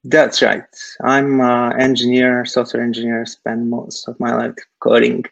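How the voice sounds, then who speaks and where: A man from Serbia, answering interview questions haltingly over a video call, filling pauses with lots of uh. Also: mid-range voice, completely flat delivery, creaky, dry recording, dull audio. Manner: hesitant, many uh fillers. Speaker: guest, startup technical founder.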